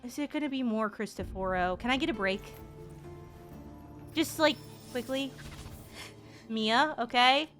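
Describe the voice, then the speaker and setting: A young woman streamer giving casual game reaction commentary in an expressive, sarcastic, slangy and sweary way. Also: fairly high pitch, unhurried, long pauses, faint music behind. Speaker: VTuber streamer.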